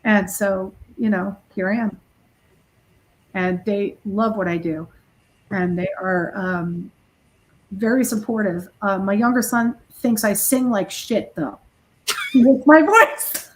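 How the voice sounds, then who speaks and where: A woman's voice: mid-range voice, takes it slowly, low-quality recording. A middle-aged woman, a heavy metal musician being interviewed, chatting casually and telling stories in a blunt, self-deprecating way. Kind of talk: casual interview chat, anecdotal storytelling.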